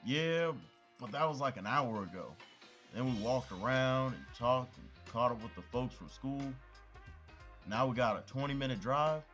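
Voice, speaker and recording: A man's voice: medium pitch, animated, moderate pace, a bit bright, faint music behind, close to an everyday voice. A man playing a cheeky young best friend who loves food and talks in loose, slangy banter. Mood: joking. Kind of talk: amateur audio drama acting.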